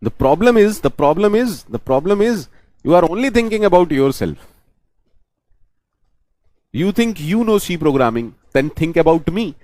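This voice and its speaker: A programming teacher with an Indian English accent and Hindi as his first language, his voice sitting fairly high for a man. He keeps repeating lines and asking "am I right?" before answering for his class. The recording is dry and close, with no room around him. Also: big pitch swings, moderate pace, pauses often, a little mellow, dry recording. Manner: repeats phrases, asks "am I right?" and answers for students. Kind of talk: online lecture to students.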